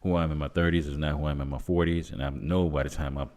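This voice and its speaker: A man's voice: a bass voice, fairly even intonation, very fast, a little mellow. A man in his fifties hosting a podcast, plainspoken and affirming, chatting casually with his guest. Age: 50s. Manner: affirming, frequent backchannel agreement, plainspoken. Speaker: podcast host. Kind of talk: casual chat.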